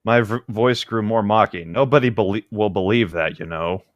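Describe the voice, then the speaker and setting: A man's voice: lowish voice, moderate pace, no echo, dull audio. A man co-hosting a podcast, chatting casually and reading passages of a novel aloud. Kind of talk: casual banter and read-aloud.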